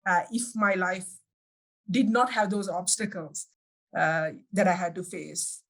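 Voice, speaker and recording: Sri woman answering thoughtfully. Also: on the low side. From Sri Lanka. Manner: thoughtful, pauses often to search for words. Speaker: guest. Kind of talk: reflective personal interview answers.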